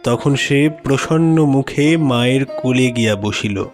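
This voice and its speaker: A man narrating a classic literary story in a measured, expressive, dramatic way. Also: medium pitch. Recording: music behind the voice.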